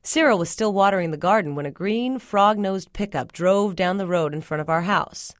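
A woman reading a first-person short story aloud in a steady, measured way. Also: mid-range voice, dry recording, studio quality. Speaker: story reader.

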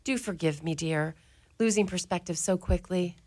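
A woman's voice: on the low side, moderate pace, darkish tone, close to an everyday voice. A woman playing a mother superior of a convent orphanage in a community radio play, wry and motherly with a lilting way of talking.

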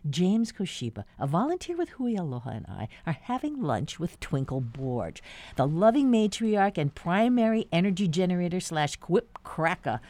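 A woman's voice: on the low side, sing-song, moderate pace, mellow, dry recording. A woman hosting a public radio talk show, chatting casually about a visit.

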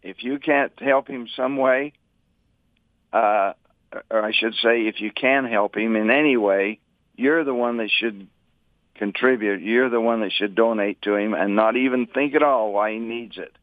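A man's voice: lowish voice, moderate pace, no room sound. A man calling in to a radio talk show, earnestly making a point with scripture. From Utah. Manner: earnest, preachy, cites scripture. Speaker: radio caller. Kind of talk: phoned-in call to a radio show. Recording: tinny phone line.

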